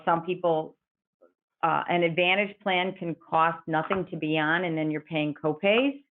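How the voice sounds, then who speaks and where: Woman explaining on a tinny line. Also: lowish voice. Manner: patient, explanatory, quotes clients in their own words. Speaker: Medicare insurance advisor. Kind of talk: explaining in an interview.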